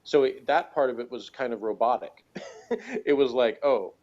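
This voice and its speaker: A man's voice: mid-range voice, moderate pace, darkish tone, highs cut off. A man in a casual unscripted discussion as a podcast co-host, hesitant and rambling, often circling back over his phrases. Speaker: podcast co-host reviewing a TV show.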